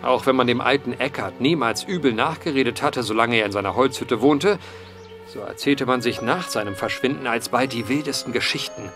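A man's voice: mid-range voice, a little fast, music in the background, close to an everyday voice. An actor playing an earnest male investigator in a period mystery radio play, speaking formally and eloquently like a storyteller. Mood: grave storytelling.

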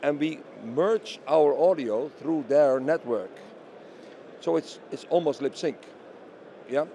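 A man in a product interview speaks with a mellow, relaxed tone at a slightly raised pitch. He explains step by step, posing questions and answering them himself. A light bustle of an exhibition hall sits behind his voice. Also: slow pace, a little hiss or hum. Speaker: company representative being interviewed. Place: busy trade show hall booth. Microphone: handheld interview microphone held by interviewer.

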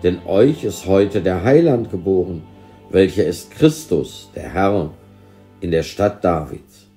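A man's voice: deep voice, lively intonation, slow pace, dark tone, soft background music. A man voicing a majestic angel who proclaims great joy solemnly in a storybook. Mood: solemn proclaiming.

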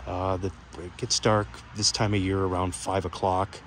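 A man with a fairly low voice talks casually through a hands-on demonstration. He is plain-spoken and explanatory, unscripted. The phone recording is made outdoors, with some light noise behind him. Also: little pitch movement, moderate pace, fairly bright tone. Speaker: hobbyist video presenter. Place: outdoors on a backyard patio at night. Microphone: handheld phone camera.

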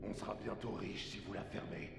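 A gravelly, low-voiced ship captain who snaps orders at his crew in a tense game scene. His voice carries a long, roomy reverb under loud music. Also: fast, heavy background noise. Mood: commanding. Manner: barking orders. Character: bossy gruff male fishing-boat captain. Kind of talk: French game dub.

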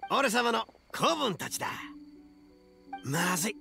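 Boastful young oni boss, game character, very swingy pitch, slow swagger, music behind. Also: male voice, very animated, vocal fry, sharp tone, heavily acted. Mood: blustering. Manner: swaggering, theatrical delivery.

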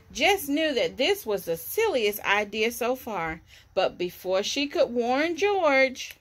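A woman in her thirties reads a picture book to children. Her voice is high and lively, with bright tone, as she reads outdoors on a porch. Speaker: storybook reader for children. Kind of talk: read-aloud children's story. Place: outdoors on a covered house porch. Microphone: camera or phone at about arm's length.

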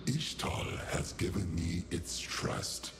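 An actor voicing an ancient, proud and menacing giant scorpion guardian in a video game, with grand, theatrical delivery and a growling voice. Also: male voice, expressive. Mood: brooding. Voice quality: deep gravelly growl. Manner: slow, grand, theatrical pronouncements. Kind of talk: video game character voice lines.

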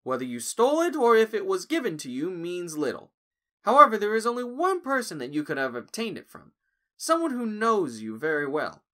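A man as a clever young human, bright voice, animated, hesitant, wry, cartoon drama. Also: high-pitched, a little fast, full character voice. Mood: confident. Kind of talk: cartoon fan audio drama acting.

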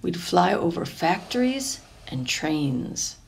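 A woman reading a children's picture book aloud in an expressive, deliberate storytelling way, lingering over phrases. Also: on the low side, gravelly. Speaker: storybook reader.

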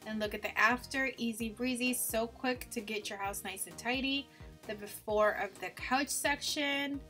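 A woman's voice: on the high side, normal speed, pushed and bright, soft background music. A woman vlogger narrating a casual home cleaning video in an informal, gushing way.

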